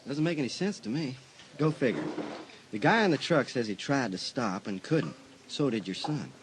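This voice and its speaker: Guy doing a worn-out old small-town sheriff, mid-pitch, plain talk. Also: lively intonation, moderate pace, noisy background, close to an everyday voice. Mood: weary. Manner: tired, plain-spoken. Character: weary older small-town male sheriff.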